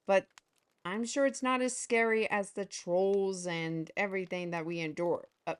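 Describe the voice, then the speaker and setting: A bold, teasing fantasy steward, played by a woman, talks in a playful, coaxing way. Her delivery is mid-pitched and leisurely, never rushed. She sounds like the lively lead of an audio drama. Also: clear, resonant tone, close to an everyday voice. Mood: persuasive.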